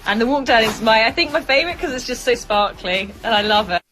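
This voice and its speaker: Animated, higher-pitched woman gushing. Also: fast, a crowd in the background, low-quality recording. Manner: gushing, exclamatory. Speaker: backstage interviewer. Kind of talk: casual chat. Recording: music over backstage chat.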